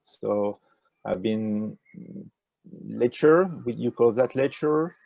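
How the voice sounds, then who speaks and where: An older man with a French accent, answering interview questions in a halting way with many fillers, speaking over a video call with a wired earphone mic. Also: lowish voice, vocal fry, no echo, dull audio. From France. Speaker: ecology professor, interview guest. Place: indoors with a virtual nature backdrop.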